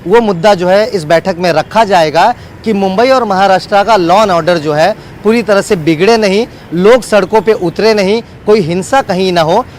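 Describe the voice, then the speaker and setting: A man's voice: high-pitched, moderate pace, hardly any pauses, a little hiss or hum. A man giving a wordy, unscripted live news report as a field reporter, often repeating set phrases.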